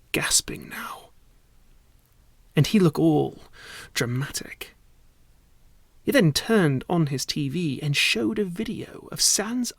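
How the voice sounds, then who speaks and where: A man reading a story aloud as a voice actor, in a dramatic, theatrical manner that drops at times into hushed asides. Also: on the high side, creaky, no room sound, clean studio sound.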